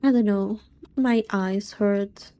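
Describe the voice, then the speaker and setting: A young British woman with a soft voice, chatting casually on a livestream with languid, drawling complaints. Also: medium pitch, fairly even intonation, slow. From Britain. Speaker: streamer.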